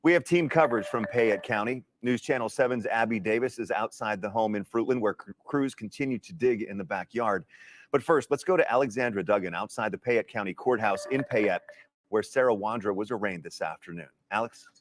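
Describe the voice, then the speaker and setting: A man's voice: fairly low pitch, fairly quick, slight background noise. An American man reading the news as a television anchor.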